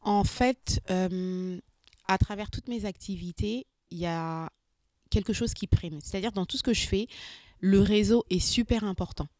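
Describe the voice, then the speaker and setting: A woman in her thirties from France, a guest in an interview, speaking casually with many hesitations and pauses. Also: medium pitch, a little mellow, no room sound, studio quality.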